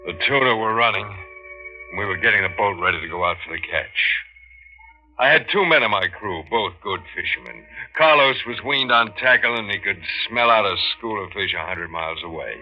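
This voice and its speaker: An actor playing an anguished, doomed fisherman who tells his story in brooding, dramatic 1940s radio style, with a gravelly voice. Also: fairly low pitch, expressive, normal speed, lightly acted. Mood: regretful. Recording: muffled vintage radio, loud music behind.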